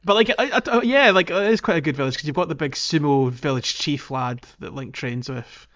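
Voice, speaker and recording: A man's voice: fairly high pitch, a little fast, fairly bright tone, highs cut off. A man hosting a casual gaming podcast, often searching for his words. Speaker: podcast host.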